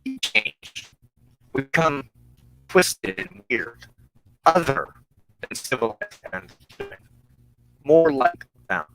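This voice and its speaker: Bright-voiced man giving a solemn spiritual talk. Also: mid-range voice, huge pitch swings, slow, pauses often, soft background music, low-quality recording. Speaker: host of a religious broadcast. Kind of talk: spoken sermon, personal testimony and teaching.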